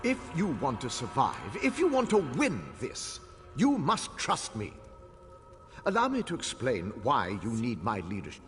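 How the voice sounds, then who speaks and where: Imperious ancient elf leader, video game, formal, very animated, long hall reverb. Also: male voice, mid-range voice, faint music behind. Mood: resolute. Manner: commanding, formal, deliberate.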